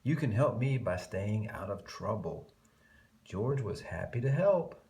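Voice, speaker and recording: A man reading a picture book aloud to young children in an expressive way, stretching key words and adding chatty, murmured asides. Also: fairly low pitch, measured pace.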